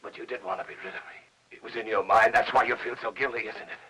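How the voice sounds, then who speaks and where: An actor playing a paranoid invalid husband in a noir radio drama, coldly accusing his wife in a hushed, husky, taunting voice. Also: medium pitch, steady tone, fast talker, a little hiss or hum, natural voice, low-quality recording. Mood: murderous. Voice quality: husky, gravelly. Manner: hushed, insinuating, taunting, often dropping to a whisper. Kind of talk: old-time radio noir acting.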